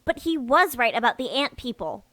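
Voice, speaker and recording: Woman as gullible mole girl in a radio play, insistent, bright sharp voice, dry studio sound. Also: sits high, big pitch swings, moderate pace, no echo. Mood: indignant. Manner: earnest, insistent, defensive. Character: earnest, gullible, indignant young female moleperson worker. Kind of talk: audio drama acting.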